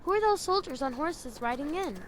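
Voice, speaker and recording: A woman's voice: high voice, lively intonation, brisk, noisy background. A woman playing a curious, easily amazed young girl in a children's audio drama, wide-eyed and colloquial. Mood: puzzled.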